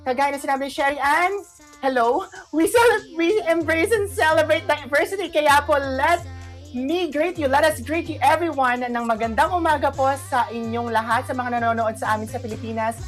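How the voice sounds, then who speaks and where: Bubbly high-voiced Filipino woman co-host. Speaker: online radio show co-host. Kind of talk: cheerful greetings and casual chat.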